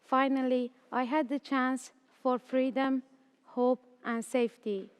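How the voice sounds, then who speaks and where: Late-teen woman from Afghanistan and Iran, student storyteller, smooth voice, slow careful delivery. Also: sits high, fairly even intonation, big-room sound. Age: late teens. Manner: slow, careful, earnest reading. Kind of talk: reading a personal story.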